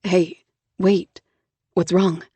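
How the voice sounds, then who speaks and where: A woman voicing a tender, earnest young man in love, with a soft, low, hushed and coaxing delivery. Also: flat delivery, drawn-out words, slightly rough, dry recording, full character voice. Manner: gentle and coaxing.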